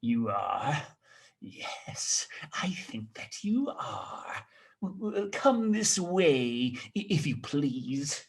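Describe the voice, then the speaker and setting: A man voicing a kindly reformed old miser, playfully gruff and giddy, in a stammering, halting way. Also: mid-range voice, sing-song, heavily acted. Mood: mock-stern growl.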